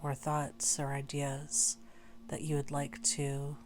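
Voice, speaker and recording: A woman leading a guided meditation in a gentle, unhurried way, leaving long pauses between short phrases. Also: deep voice, monotone. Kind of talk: slow guided meditation narration.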